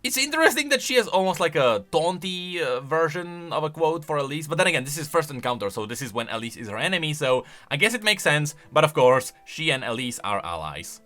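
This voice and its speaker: Animated, bright-voiced man commenting. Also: on the high side, fairly quick, hardly any pauses, soft background music. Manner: animated, emphatic, thinking aloud. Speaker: YouTube lore commentator. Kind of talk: casual, semi-improvised commentary.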